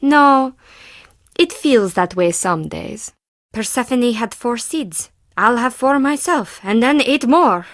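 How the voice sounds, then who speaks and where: A woman's voice: fairly high pitch, no echo, studio quality, heavily acted. An actress playing a timid, defensive woman artist and forger in a video game, with intense, theatrical delivery in clipped phrases. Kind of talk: scripted video game character dialogue.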